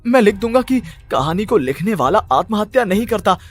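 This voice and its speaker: A man's voice: high-pitched, very animated, brisk, faint music behind. A man playing a panicky, haunted male writer who talks in agitated, repetitive disbelief in a horror cartoon story. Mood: anxious. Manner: agitated, repeats himself in disbelief.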